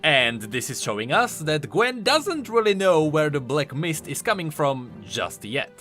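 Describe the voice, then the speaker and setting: Male game lore explainer: highish, swooping pitch, emphatic and enthusiastic, light music underneath. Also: big pitch swings, unhurried, a bit bright. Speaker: video game lore commentator. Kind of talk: explanatory commentary voice-over. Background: faint music.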